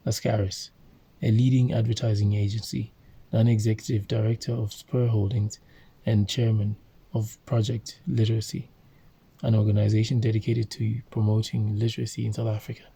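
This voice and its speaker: A man from Zambia reading a book aloud and commenting on it, halting often with fillers and self-corrections. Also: fairly low pitch, level tone, creaky, mellow, husky.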